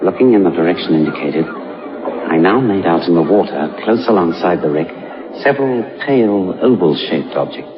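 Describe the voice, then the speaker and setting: An actor playing a sober, uneasy British gentleman telling a sea horror tale on radio, with measured, deliberate phrasing. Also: sits low, animated, crowd noise. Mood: grave, uneasy. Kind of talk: dramatised first-person radio narration and dialogue. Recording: dull radio recording, faint music.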